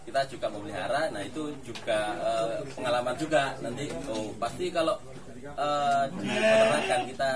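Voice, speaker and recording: Young farmer guy talking shop, mid pitch, mic far away, crowd noise loud. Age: 20s. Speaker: guest, goat and sheep farmer. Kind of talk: casual interview chat.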